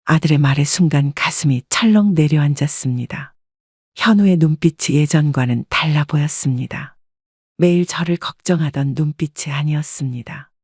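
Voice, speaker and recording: A woman giving scripted first-person narration in an even, wistful storytelling manner. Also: sits low, moderate pace, slightly rough, no room sound, clean studio sound. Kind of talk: scripted audio drama narration.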